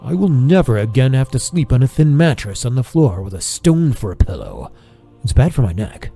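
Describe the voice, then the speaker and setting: A man's voice: medium pitch, sing-song, moderate pace, vocal fry, mellow, slightly rough, faint music behind, exaggerated voice. A man playing a scheming, self-righteous friar who speaks in pious, formal words for an audio drama. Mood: smug, self-righteous.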